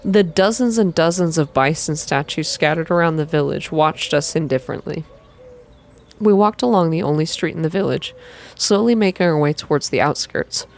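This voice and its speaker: A woman reading a story aloud in an ASMR style, with expressive, deliberate first-person narration. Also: deep voice. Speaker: reader of a visual novel. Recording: soft music behind the voice. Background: background music.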